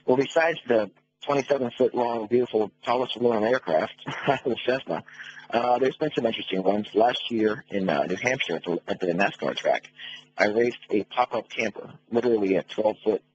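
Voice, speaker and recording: A man's voice: on the low side, moderate pace, slightly rough. A middle-aged American man speaking as a guest on a radio phone interview, explaining things conversationally and matter-of-factly. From United States. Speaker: phone-in interview guest, race car builder. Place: phone call to a radio show. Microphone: telephone. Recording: muffled noisy phone line on radio.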